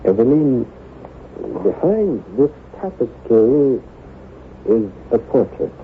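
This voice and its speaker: A thin, noisy vintage radio recording carries a haunted nobleman husband. He speaks formally and gravely, with halting pauses and wide, theatrical swings of pitch, devoted and anguished. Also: very animated. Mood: tender, tense. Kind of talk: old-time radio drama acting. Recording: noisy, narrow-band vintage radio broadcast.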